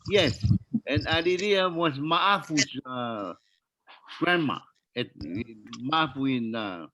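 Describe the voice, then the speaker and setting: Slow, halting older man. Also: mid-range voice, expressive, sharp tone, slight background noise, low-quality recording. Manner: halting, many pauses and filler sounds, searching for names. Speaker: family elder sharing memories. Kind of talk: casual family video call. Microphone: video call.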